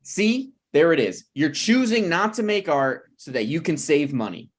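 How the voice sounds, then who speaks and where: Man playing a snarky, animated slacker writer. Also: on the high side, moderate pace. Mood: argumentative. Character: snarky broke male writer, stubborn, unapologetic slacker. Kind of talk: table read of a comedy pilot.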